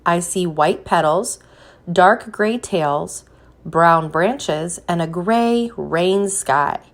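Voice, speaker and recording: Slow-paced woman reading to children. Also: lowish voice. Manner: slow, deliberate, encouraging prompts. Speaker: teacher reading a picture book aloud. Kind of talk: children's read-aloud with questions to young listeners.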